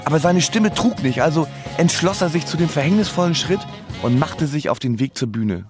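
A man's voice: on the high side, lively intonation, fairly quick, over music. A man narrating his own story in a comic radio play with wry, literary phrasing. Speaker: first-person narrator.

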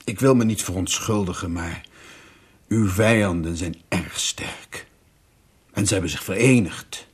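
A troubled monarch of old Russia in a radio play. He is solemn and halting, with a low register and a husky grain to the voice. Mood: regretful, grave. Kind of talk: radio drama acting.

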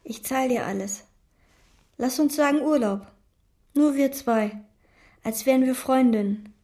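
An actress playing an obsessive, lonely young chambermaid who speaks in terse, intense lines and inner monologue in a radio drama. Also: female voice, high voice, measured pace, dark tone. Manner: terse, intense, sometimes whispered.

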